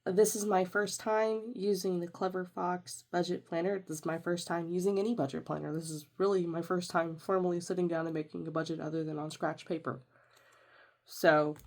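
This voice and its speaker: Woman, young adult, congested, nasal, slightly low voice, chatty and rambling vlogger. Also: a little mellow. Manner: chatty, rambling with fillers and self-corrections. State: congested, nasal from a sinus infection. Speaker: budgeting vlogger. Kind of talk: casual walkthrough of a budget planner.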